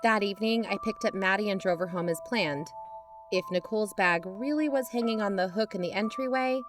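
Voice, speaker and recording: A woman playing an investigating aunt who narrates in a measured, explanatory way. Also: medium pitch, over music, close to an everyday voice. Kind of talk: scripted audio drama narration.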